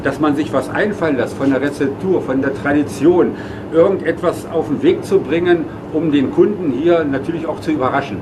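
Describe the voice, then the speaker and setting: A man's voice: fairly high pitch, a little fast, background noise. A man presenting a regional food award in formal speech, often hesitating with fillers. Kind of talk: official speech.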